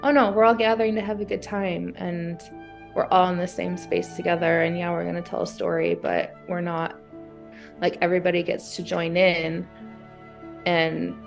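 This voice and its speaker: A woman in a casual brainstorming chat, talking in an animated way and acting out her thoughts as she goes. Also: fairly low pitch, normal speed, creaky, faint music behind. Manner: animated, acts out imagined thoughts and exclamations. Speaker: guest being coached through writer's block.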